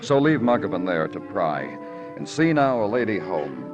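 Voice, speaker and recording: An American actor playing a weary, poetic New York police lieutenant in old radio crime drama, with terse, brooding delivery. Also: male voice, fairly low pitch, sing-song, normal speed, highs cut off. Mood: brooding narration. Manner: terse questions, brooding literary narration. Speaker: lead actor and narrator. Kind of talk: 1940s-50s American radio crime drama acting and narration. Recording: vintage radio recording with music.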